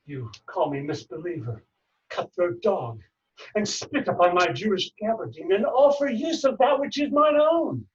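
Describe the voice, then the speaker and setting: A man's voice: on the high side, lively intonation, darkish tone. Man acting a bitter stage moneylender, distant muffled sound. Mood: bitter, aggrieved. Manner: declaimed, deliberate stage delivery. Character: wronged, bitter male moneylender in a Shakespeare play.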